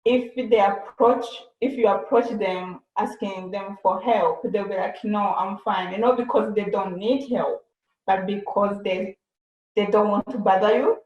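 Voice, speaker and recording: Slow, hesitant young woman on a call. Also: mid-range voice, fairly even intonation. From Burundi. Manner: hesitant, repeats words while thinking. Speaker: interviewee. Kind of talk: casual conversation on a video call. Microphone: video call. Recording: echoey, dull video-call audio.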